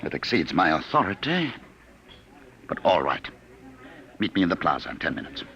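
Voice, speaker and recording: An actor playing a coolly menacing, smug and patient agent from the future in 1950s radio drama style. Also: male voice, deep voice, big pitch swings, pushed and bright, exaggerated voice. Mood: smug menace. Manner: smug, deliberate, condescending. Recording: muffled old radio drama recording.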